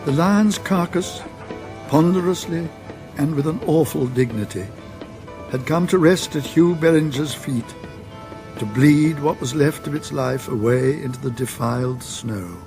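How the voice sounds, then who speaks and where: A British man narrates a period radio drama as a literary storyteller. His delivery is slow and deliberate, with a gravelly edge. Music plays faintly under his voice. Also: a little high.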